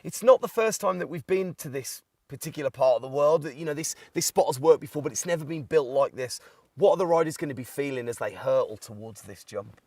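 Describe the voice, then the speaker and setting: A man's voice: on the high side, brisk, a little mellow, no room sound. Upbeat male studio host, dry sound. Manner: upbeat, chatty, leading questions. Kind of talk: live event broadcast presenting.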